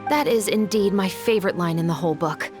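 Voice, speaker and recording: A woman playing a serious young female patrol captain in a video game, measured and formal. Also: lowish voice, over music, close to an everyday voice. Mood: earnest.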